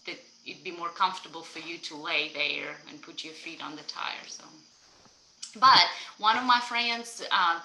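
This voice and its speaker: A woman's voice: on the low side, sharp tone, slight background noise. A woman of about forty from Ukraine with a Ukrainian accent, giving a personal account as an interview guest on a video call. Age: around 40. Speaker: interview guest, college professor. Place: indoor room at home or office, on a video call. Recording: roomy, echoing video call.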